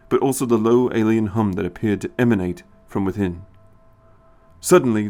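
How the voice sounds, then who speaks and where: Male narrator, lower-pitched, scripted storytelling read. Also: darkish tone.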